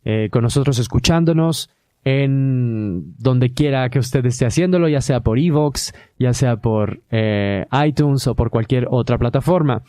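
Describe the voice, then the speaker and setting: A Mexican man hosts a podcast in a relaxed, conversational way, often pausing on "eh" as he gathers his thoughts. His voice is mellow and warm, and it was recorded close and dry with no room sound. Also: mid-range voice, no room sound. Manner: hesitant, many filler "eh" pauses. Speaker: podcast host.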